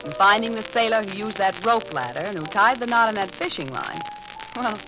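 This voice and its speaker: A woman's voice: medium pitch, expressive, fairly quick, music in the background, lightly acted. An American actress playing a sensible, skeptical newspaperwoman in an old-time radio drama, with a dry, matter-of-fact delivery. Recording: noisy old radio broadcast recording.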